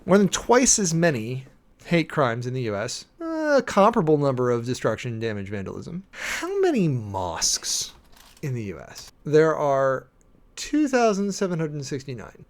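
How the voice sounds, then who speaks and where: An American man giving informal live commentary in an emphatic way, with dramatic stresses and deliberate pauses. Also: medium pitch, huge pitch swings. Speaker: preacher and livestream commentator.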